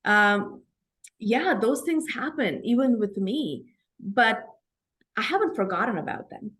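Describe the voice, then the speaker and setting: A woman's voice: a little high, animated, measured pace, fairly bright tone. A woman in her forties from India with an Indian accent, speaking as an interview guest in an earnest, reflective way and often ending points with "right?". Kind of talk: conversational interview.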